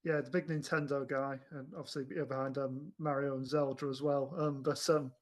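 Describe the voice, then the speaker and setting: A man's voice: medium pitch, flat delivery, normal speed. A man discussing films casually as a panelist, hesitant and often repeating words as he thinks. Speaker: podcast panelist.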